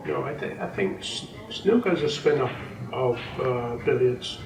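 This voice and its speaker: Elderly man, echoey distant recording. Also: medium pitch. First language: Welsh. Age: 80s. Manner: unhurried, rambling with many ums and restarts. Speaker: snooker coach and referee. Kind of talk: interview, reminiscing. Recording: echoey room, distant mic, background noise.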